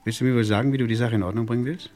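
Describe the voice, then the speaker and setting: An actor playing a menacing gangster boss in a German crime radio play, mock-friendly, condescending and threatening. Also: male voice, medium pitch, steady tone, very fast, darkish tone, faint music behind, lightly acted. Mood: threatening, contemptuous. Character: menacing, sneering gangster boss owed money.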